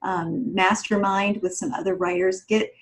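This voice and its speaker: A woman's voice: mid-range voice, steady tone, a little slow, rough recording. A woman gives casual advice on a podcast in a mid-range voice. She sounds like a mentor, practical and direct. Her phrasing is encouraging and conversational. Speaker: podcast panelist, writing mentor.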